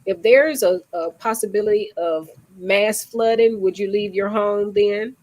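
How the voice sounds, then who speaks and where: A woman's voice: mid-range voice, a little slow, darkish tone. Stuffy-nosed woman hosting a call. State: congested, stuffy nose. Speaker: host. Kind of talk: casual video call chat.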